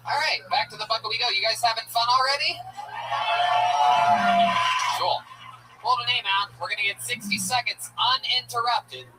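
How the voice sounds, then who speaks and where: A man hosting a live comedy show, brash and loud, hyping the crowd with crude banter from the stage. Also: extremely high pitch, normal speed, long pauses, heavy background noise. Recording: distant mic with loud music.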